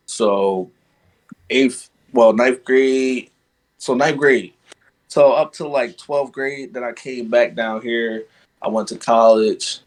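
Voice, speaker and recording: A young man from Guyana, a podcast guest, chatting casually and padding his talk with "you know what I'm saying.". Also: medium pitch. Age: young adult. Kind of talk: casual conversation.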